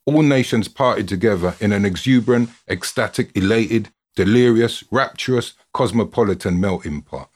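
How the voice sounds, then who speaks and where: Husky, low-voiced man reading a story. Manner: expressive, dramatic delivery with built-up lists. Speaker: reader, narrator of a written football story. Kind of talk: scripted narration, storytelling reading.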